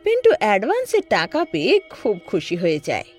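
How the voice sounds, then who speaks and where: A woman storyteller delivers scripted narration dramatically. Her pitch swings very widely, and music plays behind her. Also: wildly swooping pitch, a little fast.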